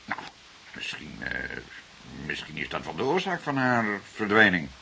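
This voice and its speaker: A middle-aged actor playing a calm, patient senior detective in a crime drama, questioning in a deliberate, musing, hesitant way. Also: male voice, low-pitched, vocal fry, full character voice. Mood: probing, musing. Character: calm, patient senior male police detective, gently probing. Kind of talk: naturalistic crime drama acting. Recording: hissy recording, faint noise.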